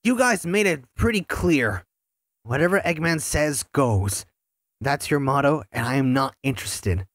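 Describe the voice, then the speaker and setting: A man's voice: medium pitch, animated, measured pace, a bit bright, no echo, studio quality. A man voicing a young male hedgehog hero who feels betrayed by his friends and speaks coldly and resentfully. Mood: bitter. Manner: cold, resentful.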